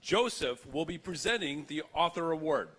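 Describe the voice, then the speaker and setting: A man's voice: on the high side, expressive, moderate pace, fairly bright tone. A man co-hosting a live awards ceremony, reading announcements and addressing the guests. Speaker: awards gala co-host.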